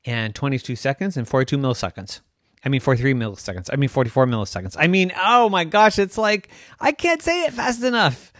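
Casting: a man playing a matter-of-fact clever girl for a bedtime storybook, slow delivery, dry close sound. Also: high-pitched, wildly swooping pitch, sharp tone, dry recording, pitched above the performer's own voice, full character voice. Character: clever girl who can tell the time.

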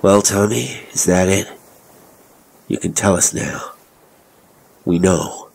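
A man's voice: low voice, slow, vocal fry, a little mellow, full character voice. Man acting an agitated, half-mad father. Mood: triumphant, half mad. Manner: intense, agitated. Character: agitated, appalled father, growing half insane. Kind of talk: dramatic audiobook character acting.

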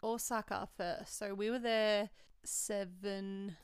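A young Australian woman with a loud voice, chatting casually about travel as a podcast co-host and saying "like" often. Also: mid-range voice, steady tone, slow pace, clear, resonant tone, dry recording, studio quality.

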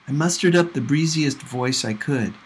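A man reading a novel aloud in an expressive storytelling style. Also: mid-range voice, normal speed, a little hiss or hum, low-quality recording. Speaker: audiobook reader.

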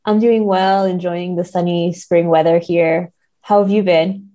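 A woman's voice: lowish voice, fairly even intonation, measured pace, dark tone. A woman, an academic guest on a podcast, talking in an articulate, scholarly way. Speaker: academic guest, professor and literary translator. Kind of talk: podcast interview, explaining research.

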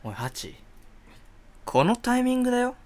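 A man's voice: very high-pitched, very animated, normal speed, darkish tone. A man playing an arrogant, flashy rich playboy in a comedy radio drama, with a pompous, showy drawl. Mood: impatient.

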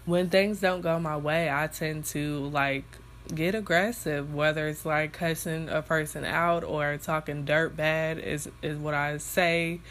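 Female podcast host, low and unhurried, congested, rambling casual delivery. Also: steady tone, fairly bright tone, slight background noise. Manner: rambling, many fillers and restarts. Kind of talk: casual solo podcast reflection.

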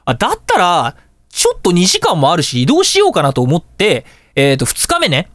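A man hosting a radio show, telling anecdotes in a casual, chatty, animated way. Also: sits high, normal speed, no echo, clean studio sound. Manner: animated, chatty storytelling with colloquial asides.

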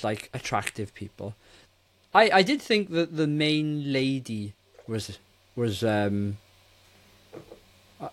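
Rambling man chatting about films. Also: mid-range voice. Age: 40s. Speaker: film review podcast co-host. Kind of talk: casual film discussion.

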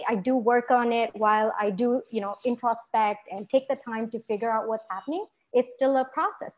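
A young woman from Singapore, a guest entrepreneur in a conversational interview, talking in a lively way with frequent laughs and restarts. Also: fairly high pitch.

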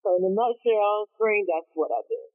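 A woman's voice: mid-range voice, moderate pace. A woman from Dallas, Texas, a marketing consultant, answering interview questions in an eager, explanatory way. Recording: tinny phone-like line.

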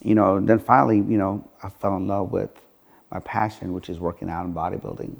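A man's voice: low voice, steady tone, a little fast, creaky. A middle-aged man from Iran, a podcast guest telling his life story earnestly, with many "you know" fillers. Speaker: podcast guest, gym franchise owner. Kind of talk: interview storytelling.

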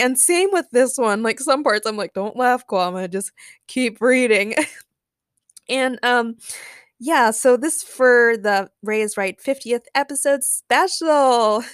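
A woman's voice: a little high, clean tone, no echo, studio quality. A young woman hosting a solo podcast in an informal, giggly, gushing way, chatting and narrating fan fiction. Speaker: podcast host.

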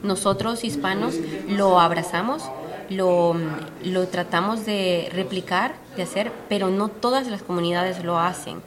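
A woman's voice: mid-range voice, unhurried. A woman from Honduras, a publisher, explaining her work earnestly and steadily in an interview at a book fair. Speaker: publisher, guest. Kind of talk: conversational interview. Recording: busy fair crowd behind. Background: crowd chatter.

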